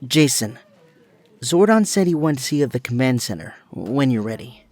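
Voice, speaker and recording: A man's voice: medium pitch, sing-song, moderate pace, darkish tone, dry recording. A man playing an awkward, earnest, brainy young superhero in an audio drama, speaking hesitantly. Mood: tentative.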